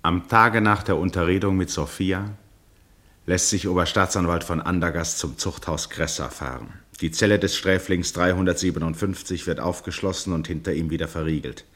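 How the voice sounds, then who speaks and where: An actor as a detached narrator of a literary radio drama, reading in a sober, precise, matter-of-fact way. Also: male voice, low-pitched, moderate pace, fairly bright tone.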